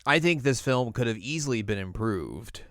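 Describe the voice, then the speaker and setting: A man's voice: mid-range voice, animated, normal speed, fairly bright tone, no echo. A man co-hosting a podcast, chatting casually and informally about films with some dry humor. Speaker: podcast co-host. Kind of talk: casual film review chat.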